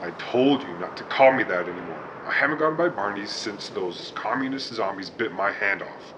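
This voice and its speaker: A man playing a gruff, reluctant hero in a radio drama, with wisecracking tough-guy talk. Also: lowish voice, normal speed, noisy background, natural voice. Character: gruff reluctant hero, a baker and war veteran. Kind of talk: 1940s radio drama acting.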